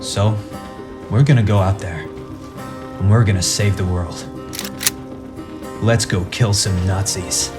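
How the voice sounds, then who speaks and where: A man's voice: lowish voice, steady tone, slow, pauses often, music in the background. A man playing a brash, protective superhero father who leads his team, earnest and wry, in a radio play. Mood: earnest, rousing.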